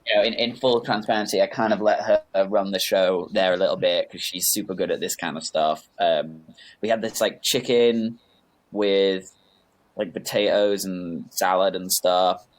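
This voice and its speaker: A young man guesting on an interview podcast, talking in a relaxed, rambling way with lots of fillers and restarts. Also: deep voice, normal speed, low-quality recording. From United Kingdom. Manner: rambling, laid-back, lots of fillers and self-corrections. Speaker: guest, music producer. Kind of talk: casual interview chat.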